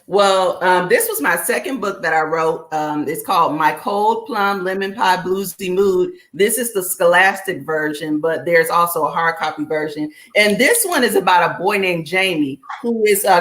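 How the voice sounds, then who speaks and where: A middle-aged woman, a children's book author, talking casually as a guest, reflective and relaxed with many fillers. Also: medium pitch. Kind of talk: casual conversation.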